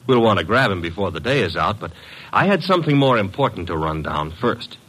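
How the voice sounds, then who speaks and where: An actor playing a brash, wisecracking detective's assistant in a classic radio drama, with slangy, punchy delivery. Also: male voice, low voice, big pitch swings, fairly quick, a bit bright, slightly rough, heavily acted, rough recording. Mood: brisk, eager.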